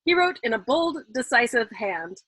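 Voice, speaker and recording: A woman reading a novel aloud in an expressive, dramatic audiobook style, as the host of a storytime. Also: on the high side, normal speed, husky, dry recording.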